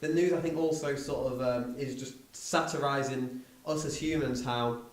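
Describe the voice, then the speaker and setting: A man's voice: mid-range voice, fairly even intonation, moderate pace. Man in echoey room, discussing film. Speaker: podcast host. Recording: roomy echo, distant from mic.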